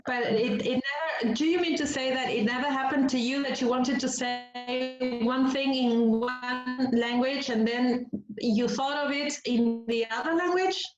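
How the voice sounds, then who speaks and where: A woman on a video call asking an interview guest careful, deliberate questions. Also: sits high, steady tone. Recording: roomy muffled call with guitar music.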